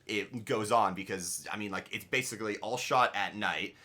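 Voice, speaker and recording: A man co-hosting a TV reaction show in casual chat, halting and correcting himself mid-sentence. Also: fairly low pitch, pushed and bright. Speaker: co-host of a TV episode reaction show.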